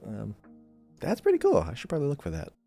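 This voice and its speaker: A man's voice: medium pitch, wildly swooping pitch, fast talker, dark tone, faint music behind. A man co-hosting a gaming podcast, talking in a casual, conversational way. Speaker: podcast co-host.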